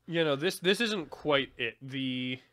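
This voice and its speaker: A man co-hosting a casual podcast, telling stories in an animated way and swearing freely. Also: mid-range voice, a little slow. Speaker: podcast co-host.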